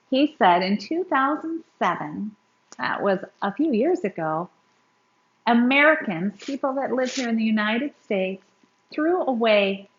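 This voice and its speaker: A woman reads a picture book aloud in a high, very animated voice. She lingers on words for dramatic emphasis, as a storyteller does for young children. The sound is a little dull and lo-fi. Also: reverberant, slight background noise.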